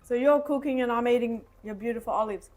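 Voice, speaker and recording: A woman's voice: on the high side, fast, a little mellow. Encouraging woman asking short questions. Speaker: interviewer. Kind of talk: casual interview.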